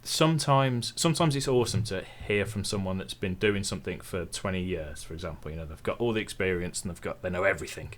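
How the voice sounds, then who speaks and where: A man's voice: deep voice, quick pace, hardly any pauses. A young man with an English Midlands accent, chatting casually and easily as an interview guest. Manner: relaxed, chatty, self-deprecating. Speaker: tech YouTuber guest.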